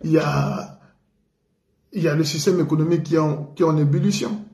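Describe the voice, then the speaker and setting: A man from the Congo delivers an emphatic, indignant radio commentary. His voice is warm and dark and sits a little higher than most men's. The room around him rings with echo. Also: fairly even intonation, brisk, gravelly. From Republic of the Congo. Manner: indignant, emphatic, repeats for stress. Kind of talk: political commentary monologue. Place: living in France.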